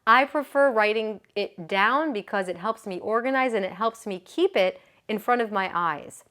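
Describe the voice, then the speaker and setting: A woman's voice: medium pitch, normal speed, a bit bright. A woman yoga business coach giving a motivational talk, chatty and earnest, often checking in with the listener and laughing easily.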